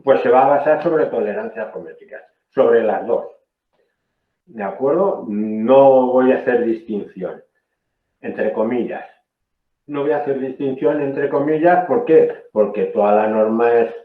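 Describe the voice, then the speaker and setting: A man's voice: medium pitch, unhurried, echoey room, narrow, tinny audio. A man from Spain giving a technical training lecture, explaining patiently and often checking in with "¿eh?". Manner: explanatory, frequent "¿eh?" checks, self-corrections.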